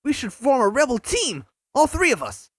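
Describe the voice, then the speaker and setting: A man playing an eager, cocky, youthful cartoon hedgehog hero in a fan audio drama, chatty and excitable. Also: very high-pitched, moderate pace, dry recording, clean studio sound, heavily acted. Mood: excited.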